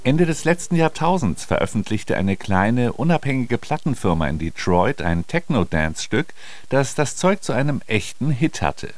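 A man's voice: medium pitch, very animated, moderate pace, no echo. A male radio host reading a scripted introduction to a programme.

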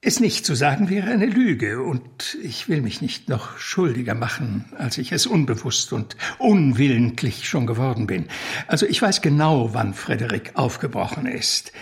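An actor playing a cultured, anguished witness from the art world in a German radio drama, speaking in deliberate, formal phrases and justifying himself. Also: male voice, medium pitch, animated, natural voice. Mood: anguished confessing.

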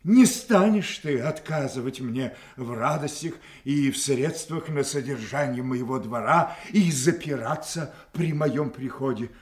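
A man acting a proud old king in an audio tragedy, wounded and quick to wrath. He declaims slowly and theatrically, with a volatile temper. The sound is roomy and echoing. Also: medium pitch, a little mellow, close to an everyday voice. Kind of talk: classical stage tragedy, audio play acting.

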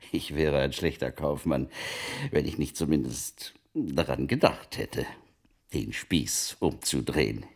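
An actor playing a wealthy, wily merchant of old Italy in a German radio play, speaking formally and deliberately in a gravelly, husky voice. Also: male voice, a bass voice, lively intonation, heavily acted. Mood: confiding, intense. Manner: formal archaic phrasing, deliberate storytelling.

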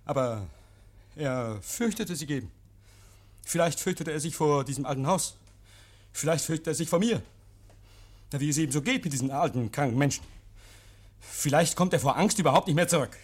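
A man's voice: a little high, breathy edge. An actor in a 1950s radio play playing a nervous, paranoid man hiding guilt, agitated and stammering. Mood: jovial, uneasy. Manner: agitated, stammering, insistent, hears imaginary noises. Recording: vintage 1950s radio recording.

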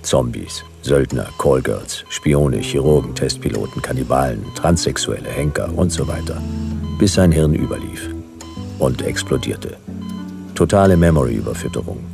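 Very deep, gravelly hard-boiled detective. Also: male voice, lively intonation, moderate pace, over music, exaggerated voice. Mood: grim, relentless. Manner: laconic, dry, clipped sentences. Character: male hard-boiled sardonic private detective narrating his own case. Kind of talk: radio play noir narration and dialogue.

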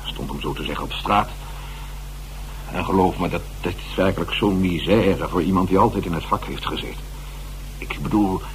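A man's voice: low-pitched, close to an everyday voice, rough recording. An actor plays a distraught theatre director. He hesitates, repeats himself and dramatizes his reluctance. It is an old radio play with faint hum and hiss. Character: distraught, self-important theatre company director feigning reluctance.

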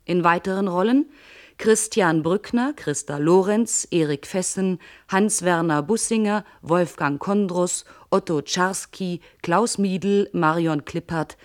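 Female announcer, slightly low voice, slow formal reading of programme credits. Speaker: radio announcer.

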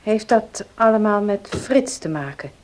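An actress playing a concerned, sincere young pastor's wife who asks probing questions in a radio play. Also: female voice, medium pitch, expressive, unhurried, darkish tone, close to an everyday voice, poor audio. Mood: probing, worried. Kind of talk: Dutch radio play acting.

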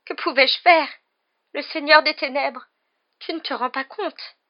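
Woman voicing a whimpering, high-pitched cowardly man. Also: measured pace, lo-fi sound. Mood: desperate whining. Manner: pleading, stammering, whining. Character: cowardly, grovelling, whimpering middle-aged man, pleading for his life.

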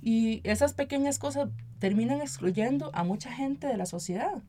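A woman's voice: on the high side, moderate pace, warm tone, a little hiss or hum. An earnest woman with a Costa Rican accent speaking conversationally as an interview guest, often adding tag questions to seek agreement. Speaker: activist and municipal council member, interview guest.

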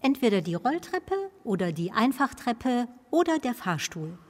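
An actress playing a firm, protective, admonishing museum attendant in a German radio play. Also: female voice, fairly high pitch, big pitch swings, moderate pace. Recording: long reverb of a large hall.

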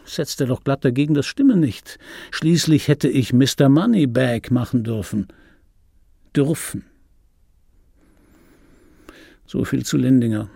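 An actor playing a bitter middle-aged dubbing actor, telling his life in a wry, gravelly, husky voice in for a radio play. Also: mid-range voice, lively intonation, a little slow, warm tone, no echo, clean studio sound, heavily acted. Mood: wry, reminiscing. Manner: wry, conversational, self-interrupting. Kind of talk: radio play monologue, intimate storytelling.

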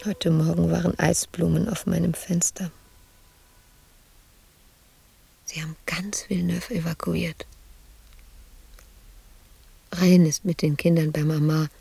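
An actress playing an embittered, lonely middle-aged woman sculptor in a radio drama monologue, husky and intimate, often slipping into a whisper. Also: fairly low pitch, dark tone, dry recording, exaggerated voice. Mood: hushed, wistful. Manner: brooding, intimate, shifting between whisper and outburst.

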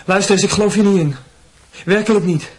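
A man's voice: high-pitched, quick pace, darkish tone, husky. An actor playing a sceptical but well-mannered young man in a radio play. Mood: sceptical, indignant. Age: young adult. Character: sceptical, polite young man, a piano student. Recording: slightly noisy old radio play recording.

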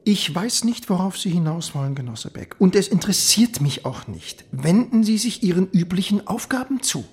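An officious East German police chief in a radio play. His voice is husky and fairly high, his manner evasive and stiffly dismissive behind a show of calm. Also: moderate pace, hardly any pauses, heavily acted. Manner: hemming, then stiff and dismissive. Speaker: head of district police office. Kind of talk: radio drama dialogue.

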